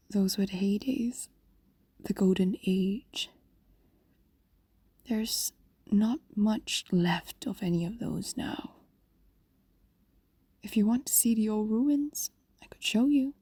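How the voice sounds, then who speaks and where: Casting: actress for a doting, possessive fox spirit in fantasy ASMR drama; soft mellow tone, breathy coaxing whisper, soothing and ageless. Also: female voice, mid-range voice, dry recording. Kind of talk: intimate fantasy audio roleplay, ASMR.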